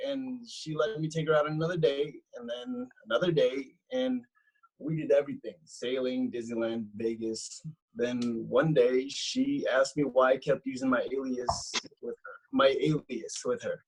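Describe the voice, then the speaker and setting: A man's voice: medium pitch, husky, low-quality recording. An actor playing a spoiled, self-absorbed rich young man, dramatic and indignant, in a comedic script read. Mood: offended. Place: recorded remotely at home on a video call.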